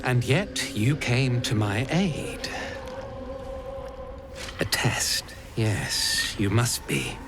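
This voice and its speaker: Slow, haughty villain lord. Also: male voice, medium pitch, animated, background noise. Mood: suspicious, imperious. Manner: haughty, slow, theatrical, speaks of himself in third person. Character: arrogant, cruel male drow cult slaver lord. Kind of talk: video game villain acting. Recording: music behind the voice.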